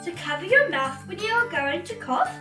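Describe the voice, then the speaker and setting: A woman reading a children's storybook aloud as host, in a theatrical, exclamatory way with drawn-out emphasis. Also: very high voice, sing-song, sharp tone, recorded from a distance. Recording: roomy echo, off-mic, music bed.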